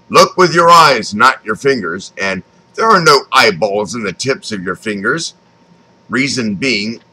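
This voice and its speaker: Male memoir narrator, fifties, American accent. Bright, animated intonation, deliberate scripted read. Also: mid-range voice, poor audio. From Texas, United States. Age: 50s. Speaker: author reading his own memoir.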